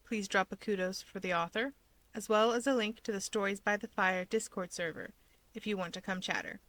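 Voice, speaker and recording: A woman's voice: medium pitch, animated, a little fast, fairly bright tone. A woman narrator chatting casually to listeners in an outro to her fan fiction reading.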